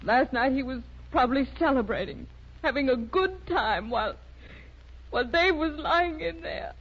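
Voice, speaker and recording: An actress playing a worried, grieving young wife with bitterness, speaking haltingly and plaintively in 1930s radio drama style. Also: female voice, high-pitched, expressive, a bit bright, breathy edge, exaggerated voice. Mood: tearful. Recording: muffled, lo-fi 1930s radio recording.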